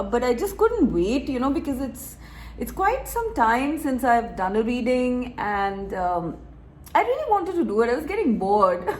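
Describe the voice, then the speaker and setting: A woman recovering from a cold, reading tarot to her viewers in a chatty, relaxed way, laughing and clearing her throat often. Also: fairly high pitch. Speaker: tarot reader. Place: room with door open, wind chimes outside.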